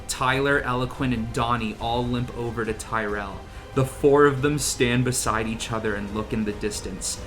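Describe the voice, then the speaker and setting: Jokey young man over loud music. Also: mid-range voice, steady tone. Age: young adult. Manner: jokey, profane, quick to laugh. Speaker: podcast host. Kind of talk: casual chat and script read-aloud among friends.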